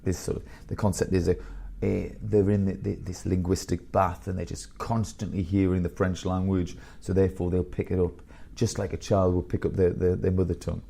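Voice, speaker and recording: A man around fifty, a headmaster telling blunt, animated stories in a candid interview. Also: sits low, a little fast, gravelly, breathy edge. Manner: blunt, animated storyteller with bursts of laughter.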